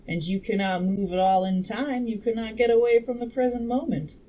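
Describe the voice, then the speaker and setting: A woman reading aloud as a confident Victorian gentleman inventor who lectures in a didactic, assured way. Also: fairly high pitch, steady tone, fairly quick, narrow, tinny audio, pitched above the performer's own voice, natural voice. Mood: challenging. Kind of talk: audiobook-style character reading. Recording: noisy room background.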